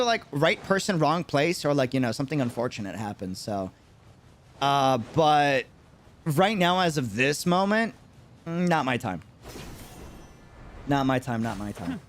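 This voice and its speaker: Animated, higher-pitched male streamer. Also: moderate pace, long pauses. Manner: animated, repeats phrases for emphasis. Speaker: streamer and content creator. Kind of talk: casual livestream chat answering viewers.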